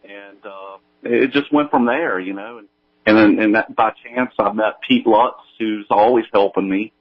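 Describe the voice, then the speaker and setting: A man with a lowish voice answers interview questions casually. He is modest and halting, and his remote line sounds dull, with the volume drifting up and down. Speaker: guest, audio drama creator.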